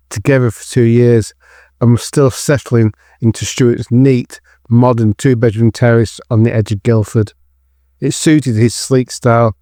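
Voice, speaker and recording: A man's voice: mid-range voice, a little mellow, no room sound, clean studio sound. A man narrating a scripted dramatic story as the narrator of an audio drama.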